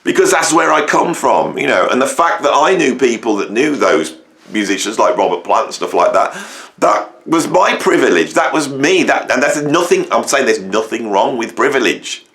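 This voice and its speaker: Middle-aged English musician-host, gravelly and croaky, mid-pitched, emphatic rambling opinion piece. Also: male voice, big pitch swings, normal speed, fairly bright tone. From England, near Birmingham. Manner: rambling, emphatic, many fillers and restarts. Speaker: musician and educator, channel host. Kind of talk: opinionated monologue to camera.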